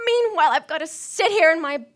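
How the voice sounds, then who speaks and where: A woman's voice: very high-pitched, lively intonation, a little fast. A woman acting a fretful, demanding, self-pitying bedridden wife who stammers nervously, in live radio-thriller theater. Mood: indignant, agitated.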